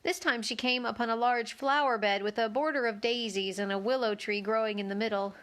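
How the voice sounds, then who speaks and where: A woman's voice: a little high, steady tone, a little fast, fairly bright tone. A woman narrating a children's book aloud as a storybook reader.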